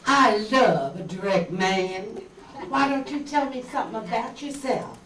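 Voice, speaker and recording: Woman playing slow, sugary, scheming femme fatale. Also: a little high, a bit bright. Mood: coy, coaxing. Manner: coaxing, sugary. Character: coy, scheming Southern blonde femme fatale. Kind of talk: 1950s radio noir acting on stage. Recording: echoey room, distant mic, background noise.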